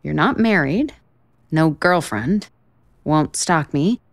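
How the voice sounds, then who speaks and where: A woman's voice: sits low, lively intonation, slow pace, dry recording, studio quality, heavily acted. An actress playing a blunt, exasperated single woman in a romantic comedy audio drama, sarcastic, emphatic and profane. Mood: awkward, wry. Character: blunt, exasperated, foul-mouthed single woman, sharp-tongued cynic.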